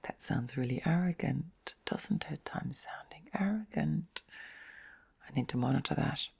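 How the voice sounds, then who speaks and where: A middle-aged woman in a soft, whispery, breathy voice, giving a gentle, reflective bedtime chat in an intimate ASMR-like style. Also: sits low, animated, measured pace. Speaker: host of relaxation and sleep channel.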